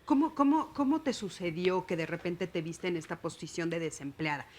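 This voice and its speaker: A woman hosting a TV talk show, probing her guest with quick follow-up questions. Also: lowish voice, darkish tone. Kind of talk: interviewing guests on a TV panel.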